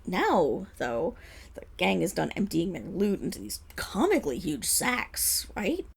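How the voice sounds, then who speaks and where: A woman's voice: on the low side, very animated, a little slow, gravelly, husky, heavily acted. A woman playing a flustered, boastful female superhero who rambles defensively through a dramatic public apology speech in an audio roleplay. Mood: excited. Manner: rambling, defensive, dramatic storytelling with nervous laughs. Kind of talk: scripted audio roleplay monologue as a press conference speech.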